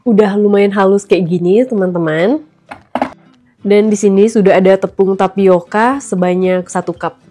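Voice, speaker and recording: A woman's voice: mid-range voice, normal speed, dark tone, soft background music. A woman in her thirties with a stuffy, nasal voice from a cold, chatting to viewers as she cooks at home. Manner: chatty, addresses viewers often. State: recovering from flu. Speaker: vlogger and mother. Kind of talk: casual cooking vlog.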